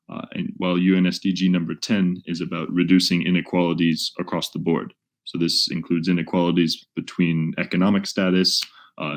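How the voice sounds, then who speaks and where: A man facilitating a webinar, talking off the cuff in a measured, halting way as he explains and puts questions to participants. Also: low-pitched. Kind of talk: unscripted webinar teaching, posing questions to participants.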